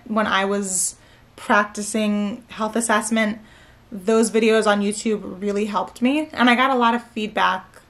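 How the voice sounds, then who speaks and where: A woman in her late twenties, a nursing student from Maryland, chatting casually to camera at home at night. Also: mid-range voice. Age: 20s. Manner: relaxed, chatty, frequent "like" and "so". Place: living room floor at home, indoors. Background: faint room ambience, heater hum.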